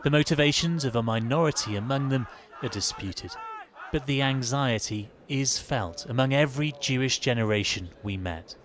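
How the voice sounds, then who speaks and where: A man giving a measured, serious television news report as the reporter. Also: medium pitch, noisy background. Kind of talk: scripted news report and live studio analysis.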